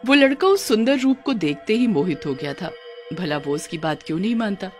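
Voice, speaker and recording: A woman's voice: medium pitch, lively intonation, brisk, faint music behind. A woman narrating a scripted cartoon horror story as its storyteller.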